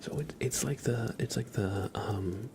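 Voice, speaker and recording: A soft-spoken man hosting an ASMR livestream, chatting casually and unhurriedly close to the mic, drifting between whispers and a low murmur. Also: unhurried, background noise. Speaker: ASMR live streamer.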